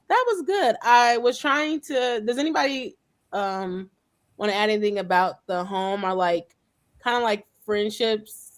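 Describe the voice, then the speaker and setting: Chatty woman hosting a group chat. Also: mid-range voice. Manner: chatty storyteller, acts out dialogue. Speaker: host.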